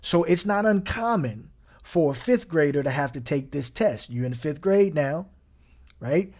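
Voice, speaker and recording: A man's voice: on the high side, lively intonation, moderate pace, darkish tone. A man preaching a conversational sermon, often checking in with his listeners by asking "right?", now and then chuckling and dropping almost to a whisper. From Gary, Indiana.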